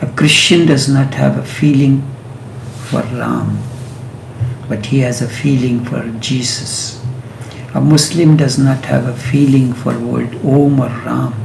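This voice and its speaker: A man whose first language is Hindi, giving a slow, meditative spiritual talk with long pauses and drawn-out chanted sounds. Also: medium pitch, fairly even intonation, gravelly, roomy sound, a little hiss or hum, rough recording. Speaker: spiritual teacher.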